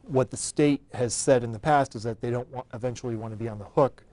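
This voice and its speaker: A man who is a state senator, talking quickly and forcefully about a bill he is pushing on his own talk show. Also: mid-range voice, dry recording. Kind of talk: public affairs talk show.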